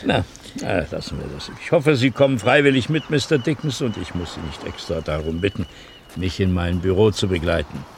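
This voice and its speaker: An actor playing a shrewd, unruffled middle-aged police inspector who questions with wry courtesy in a radio crime drama. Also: male voice, sits low, lively intonation, brisk, gravelly. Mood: wry, insinuating. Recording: old radio play with faint background noise.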